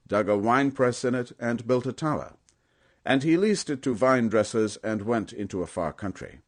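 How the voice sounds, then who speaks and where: A man narrating scripture as a scripted audiobook, in a solemn, emphatic storytelling manner. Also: lowish voice.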